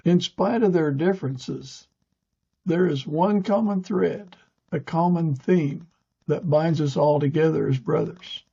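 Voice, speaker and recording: Husky, mellow man hosting a podcast. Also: fairly high pitch, dull audio. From United States. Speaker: podcast host and interviewer. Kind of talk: conversational interview and podcast hosting.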